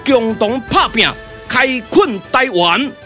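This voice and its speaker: A man with a Taiwanese accent voicing a hearty, excitable sworn brother of a rebel band, emphatic and theatrical in puppet radio drama. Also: very high-pitched, slow, exaggerated voice. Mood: rousing. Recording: music under the voice.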